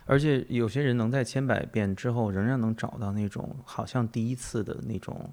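A man's voice: mid-range voice, fairly even intonation, brisk, darkish tone. A man hosting a podcast, musing philosophically in conversation, emphatic, with repetition and rhetorical questions. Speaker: podcast host.

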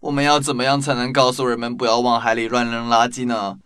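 A man's voice: medium pitch, level tone, quick pace, noisy background, natural voice. A man playing an earnest young fishing companion, expressively, in a children's radio drama. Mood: dismayed.

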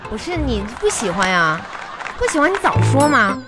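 High-pitched, breezy Northeastern Chinese wife. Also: female voice, big pitch swings, moderate pace, loud music behind the voice. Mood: defensive. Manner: flippant, coaxing. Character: breezy, cheeky wife and mother, unapologetic shopper. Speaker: radio comedy host and performer. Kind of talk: radio comedy sketch acting.

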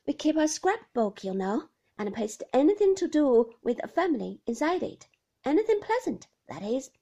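Relentlessly cheerful nurse, high and sing-song, as a woman reader puts her on. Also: exaggerated voice. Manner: bright, chirpy, chatty.

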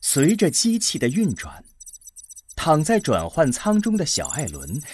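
Animated male narrator over prominent music. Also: on the high side, measured pace, breathy edge. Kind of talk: dramatic scripted narration of science-fiction radio drama. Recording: prominent music bed under voice.

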